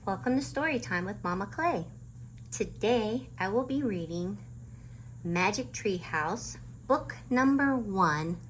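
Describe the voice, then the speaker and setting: A woman's voice: on the low side, a little hiss or hum, poor audio. A woman hosting a children's storytime and reading a chapter book aloud. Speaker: storytime host and reader.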